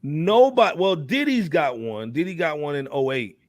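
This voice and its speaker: An American man in his forties hosts a casual hip hop talk show. His voice sits a little high, with a dry, close sound. He talks in slang and hammers key phrases by repeating them. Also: animated, normal speed, dry recording. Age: 40s.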